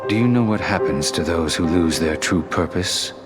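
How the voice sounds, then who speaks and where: Man acting an extremely deep, menacing villain. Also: moderate pace, loud music behind the voice, lightly acted. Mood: cold menace. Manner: grave, ominous. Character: stern, menacing, cold-hearted member of villain order. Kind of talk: video game cutscene acting.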